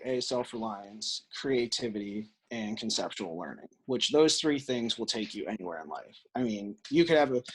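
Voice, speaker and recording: A man's voice: medium pitch, fairly bright tone, breathy edge, rough recording. A man with an American accent giving earnest, explanatory answers as a podcast guest in a conversational interview. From Illinois, United States.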